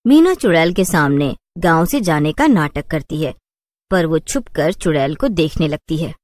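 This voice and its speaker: A woman narrates a children's cartoon story. Her voice is fairly low with lively intonation, and the recording is close and dry. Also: moderate pace, no room sound.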